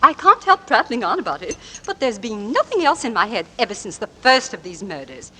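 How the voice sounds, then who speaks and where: A prattling society matron, with a bright, sharp tone that swoops wildly up and down. She gushes with morbid delight. The sound is that of an old radio broadcast. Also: a little high, sing-song, brisk, a little hiss or hum. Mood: gushing. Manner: gushing, prattling, tactless. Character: chatty, morbid middle-aged society mother. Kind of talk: 1940s radio drama acting.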